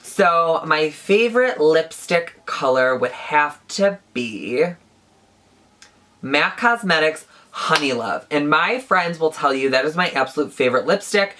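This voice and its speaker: Bright-voiced chatty young woman. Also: sits low. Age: 20s. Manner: chatty, says "like" a lot. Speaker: beauty YouTuber. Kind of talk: casual Q&A vlog.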